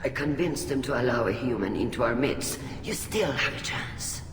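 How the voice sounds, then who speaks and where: A woman's voice: extremely low pitch, lively intonation, moderate pace. Older female alien admiral, stately and formal, game drama, reverberant hall with background noise. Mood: reassuring, firm. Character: older female alien admiral, dignified, warm, protective family friend. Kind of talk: video game voice acting. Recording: echoey hall, clear ambient noise.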